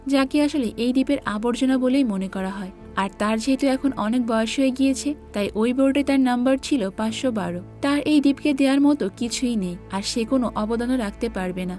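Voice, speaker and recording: Higher-pitched, mellow woman narrating a story. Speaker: movie recap narrator.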